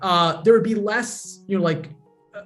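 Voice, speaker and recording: A man's voice: high voice, normal speed, fairly bright tone, soft background music. A man, a guest on a remote interview, patiently explaining technology with everyday examples. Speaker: guest, blockchain educator. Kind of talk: casual explanatory interview talk.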